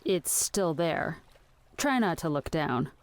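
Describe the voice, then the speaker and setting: A woman's voice: deep voice, very animated, takes it slowly, heavily acted. An actress playing a practical, exasperated woman with a dry, weary manner in an audio drama. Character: exasperated, queasy, practical woman minding her raving companion. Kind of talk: scripted audio drama acting.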